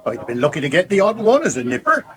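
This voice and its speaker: Casting: elderly pub regular, grumbling and nostalgic, slightly high, lively pitch, radio soap. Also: male voice, quick pace. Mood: dry, grumbling. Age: older. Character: grumbling old village man, pub regular of sixty years.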